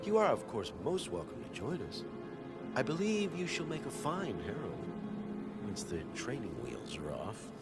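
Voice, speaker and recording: Smug, swooping society chief. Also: male voice, medium pitch, wildly swooping pitch, normal speed, loud music behind the voice. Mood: smug welcome. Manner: unctuous, grandly formal, condescending. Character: suave, self-satisfied male lawyer and society chief. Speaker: chief of the Heralds in a video game. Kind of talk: video game acting.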